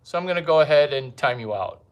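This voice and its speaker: A man doing a rambling comic monologue, provocative and fond of repeating his catchphrases with drawn-out emphasis. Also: a little high, expressive. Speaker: comedian host.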